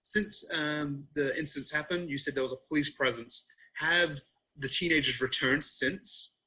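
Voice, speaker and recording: A man asking questions on a video call, halting as he searches for his words. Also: on the high side, sharp tone, slightly rough, rough recording. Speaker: audience member asking questions. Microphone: video call microphone.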